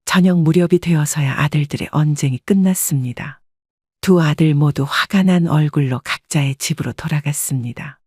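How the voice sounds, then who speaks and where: A woman narrating a story in the first person, calm and measured. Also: sits low, husky, no echo, studio quality.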